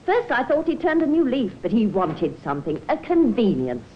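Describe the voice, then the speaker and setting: A woman's voice: a little high, big pitch swings, moderate pace, a little hiss or hum. An actress playing a chatty, indignant married woman, forthright and gossipy, in an old time radio drama. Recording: muffled old radio recording.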